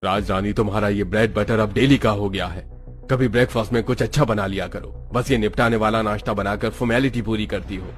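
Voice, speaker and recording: A man's voice: fairly low pitch, fairly even intonation, fairly quick, lightly acted. A man voicing a dissatisfied, nagging husband in a cartoon story, with a complaining manner. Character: dissatisfied, nagging middle-class husband and father. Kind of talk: Hindi cartoon story acting.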